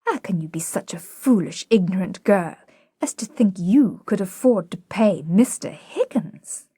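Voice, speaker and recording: A woman's voice: mid-range voice, lively intonation, measured pace, dark tone, husky, dry recording, studio quality. A woman voicing a severe, scornful housekeeper who scolds, in a play reading. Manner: stern, scolding.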